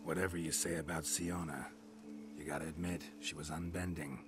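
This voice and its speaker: An actor playing a stoic, world-weary monster hunter in a fantasy game, speaking tersely in a low gravelly voice. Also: male voice, fairly quick, over music. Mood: dry. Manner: terse, clipped, flat delivery.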